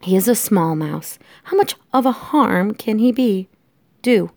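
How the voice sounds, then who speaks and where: A woman's voice: mid-range voice, animated, measured pace, creaky, darkish tone, no room sound, clean studio sound, voice pushed up. Storybook role: disdainful mother mouse, blasé yet indignant, theatrical phrasing voiced by a woman. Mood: dismissive, bored.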